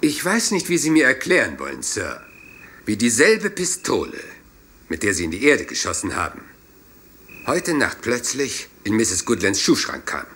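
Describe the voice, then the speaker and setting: An actor in a radio play playing a rumpled, courteous police inspector who apologizes and meanders doggedly. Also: male voice, medium pitch, big pitch swings, normal speed, bright, forceful tone, slight background noise. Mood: insistent.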